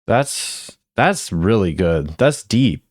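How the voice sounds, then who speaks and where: A man's voice: lowish voice, sing-song, slow, no room sound, studio quality. A man hosting a gaming video, giving casual commentary with informal, musing reactions.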